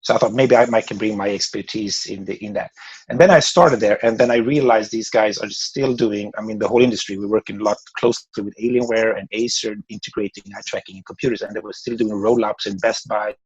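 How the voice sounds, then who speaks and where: A man's voice: lowish voice, steady tone, quick pace, husky, no room sound, highs cut off. A man in his forties from Sweden with a Swedish accent, a podcast guest talking casually and rambling with many restarts. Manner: rambling, restarts words often. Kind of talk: casual interview chat.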